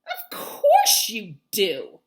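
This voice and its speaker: A woman's voice: mid-range voice, wildly swooping pitch, extremely slow, heavily acted. A dismissive, bossy male fish from a children's storybook, played by a woman reader. He talks curtly and scornfully, with big swings in intonation. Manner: scornful, curt.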